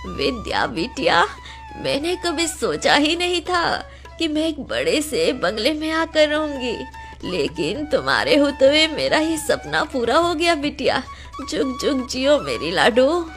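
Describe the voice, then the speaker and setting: Elderly grandmother, played by a woman for a cartoon tale: doting, grateful, high and animated. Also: unhurried, soft background music, heavily acted. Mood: grateful, blessing. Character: affectionate, grateful old village grandmother.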